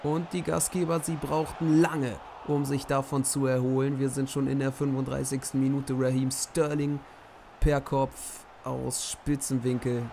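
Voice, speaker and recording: A hoarse young man giving lively play-by-play summaries of simulated football matches. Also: medium pitch, background noise. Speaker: host commentating simulated matches.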